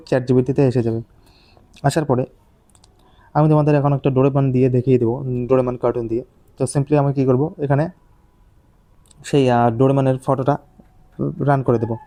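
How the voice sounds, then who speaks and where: A male tutorial host walks through steps informally. His tone is very dark and soft, with little movement in pitch. He explains haltingly and repeats himself as he goes. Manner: repetitive step-by-step explaining, halting. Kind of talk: informal step-by-step software tutorial.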